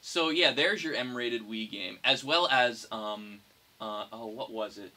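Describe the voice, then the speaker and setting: A young man chatting casually about games as a podcast co-host, explaining things in a halting, hesitant way. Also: mid-range voice, bright, forceful tone. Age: young adult. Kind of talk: casual gaming chat among friends. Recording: noisy hobby podcast recording.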